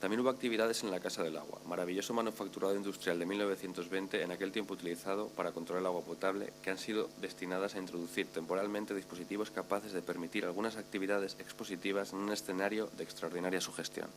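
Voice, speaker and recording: Deep-voiced man reading a paper fast. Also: background noise. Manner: formal, steady reading. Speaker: conference speaker. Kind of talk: reading a prepared paper aloud.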